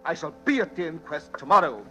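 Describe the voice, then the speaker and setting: A man's voice: on the high side, sing-song, fast, bright, forceful tone, soft background music, heavily acted. A man playing a nervous, hesitant retired bank man in a 1940s-style radio drama, growing frightened and obsessive. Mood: agitated, resolute. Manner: hesitant, repeating words, dramatic. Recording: old-time radio drama.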